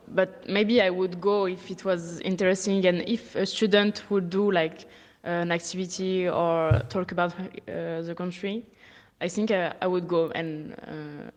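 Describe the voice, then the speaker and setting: A woman's voice: fairly low pitch, animated, normal speed. Hesitant woman in a reverberant room. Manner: hesitant, many fillers. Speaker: interviewed student. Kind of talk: casual spoken opinion. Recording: long reverb, roomy.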